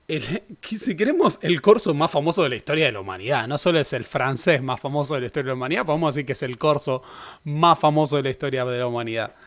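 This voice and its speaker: A man's voice: mid-range voice, fairly bright tone. A young man giving a casual, conversational history lecture. He corrects himself often and adds joking asides. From Argentina. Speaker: history teacher.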